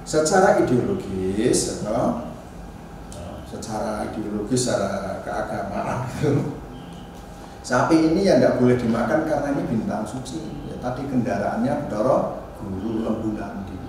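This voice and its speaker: A man giving an informal academic lecture as a university teacher, halting and restarting, often adding tag questions. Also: fairly low pitch, lively intonation. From Indonesia. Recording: very echoey room, distant mic, faint noise.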